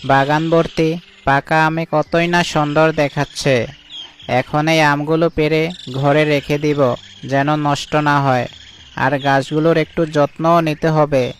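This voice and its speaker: An actor playing a friendly, hardworking jackal who keeps an orchard, in a chatty, eager cartoon style. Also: male voice, a little high, little pitch movement, measured pace, soft background music. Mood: content. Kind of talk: cartoon voice acting.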